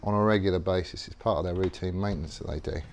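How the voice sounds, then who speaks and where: A man's voice: deep voice, steady tone, fast, a little hiss or hum. A middle-aged man casually explaining his tool care while working in a garage workshop, rambling and matter-of-fact with many ums. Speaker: workshop vlogger.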